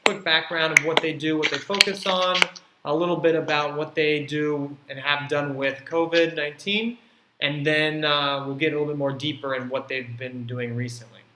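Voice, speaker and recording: A man's voice: a little high, fairly even intonation, normal speed, bright, forceful tone. A man hosting and moderating an online panel, explaining in a loose way with plenty of fillers. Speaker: host and platform founder. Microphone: video call. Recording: echoey room with faint noise.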